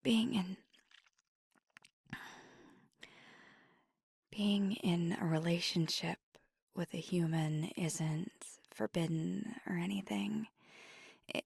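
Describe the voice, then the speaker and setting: Woman voicing a hushed, breathy, nervous vampire girlfriend. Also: lowish voice, no echo, clean studio sound, heavily acted. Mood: earnest, reassuring. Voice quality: breathy, husky whisper. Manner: hesitant, halting, earnest delivery. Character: nervous, tender, devoted female vampire girlfriend. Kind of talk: scripted intimate ASMR roleplay.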